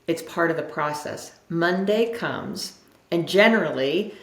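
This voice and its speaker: Woman, lowish pitch, emphatic coaching talk, conversational storytelling, repeated phrases, echoey room. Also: lively intonation, unhurried, gravelly, fairly bright tone. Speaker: interview guest, self-development coach. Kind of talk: conversational motivational explanation with anecdotes. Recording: roomy, echoing sound.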